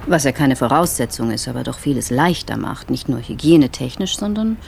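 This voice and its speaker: An actor playing a self-absorbed, fastidious traveller in a radio drama monologue, coolly sizing people up. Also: male voice, medium pitch, expressive, vocal fry, slight background noise. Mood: appraising.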